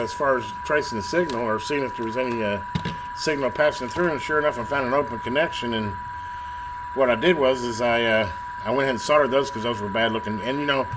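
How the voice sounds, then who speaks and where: A man talking casually through a hands-on repair as a technician, folksy and thinking aloud at his own unhurried rate. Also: mid-range voice. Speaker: radio repair technician and video host. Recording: loud electrical hum and background noise.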